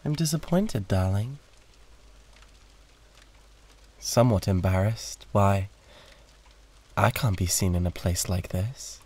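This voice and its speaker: A man's voice: fairly low pitch, a little slow, a little mellow. A British man playing a suave, condescending, flirtatious demon in a theatrical roleplay audio drama, with a haughty drawl. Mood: disdainful.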